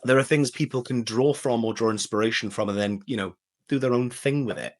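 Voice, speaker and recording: A man's voice: mid-range voice, fairly quick. A man speaking as an interview guest in a casual, enthusiastic chat. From Wales.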